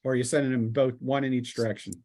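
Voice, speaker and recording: A man narrating a tabletop roleplaying game as game master, improvising in a halting way with pauses mid-sentence. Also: medium pitch, flat delivery, a little mellow.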